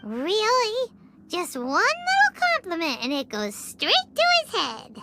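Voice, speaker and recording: An actress voicing a tiny, snarky fairy companion in a game, chirpy and sarcastic. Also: female voice, extremely high pitch, wildly swooping pitch, measured pace, full character voice. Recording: loud game music behind.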